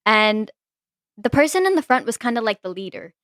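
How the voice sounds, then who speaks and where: A woman's voice: a little high, sing-song, fairly quick, a bit bright, dry recording, studio quality. A teenage woman co-hosting a podcast, chatting casually with friends and telling a story full of "like" fillers. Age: teens. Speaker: podcast co-host. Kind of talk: casual chat among friends.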